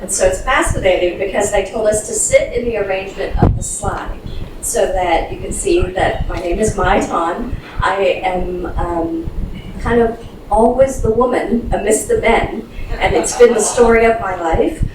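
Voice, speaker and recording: Middle aged woman on a panel, medium pitch, very echoey and noisy. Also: a little slow. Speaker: panelist, HR executive. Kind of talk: informal personal storytelling on a panel. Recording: echoey noisy room, distant mic.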